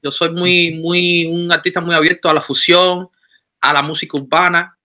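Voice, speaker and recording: A man's voice: on the high side, moderate pace. A Cuban man in his thirties, a musician talking about his career in a casual interview, rambling with many restarts. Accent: Cuban Spanish. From Cuba. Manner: animated, rambling with frequent restarts. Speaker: musician guest.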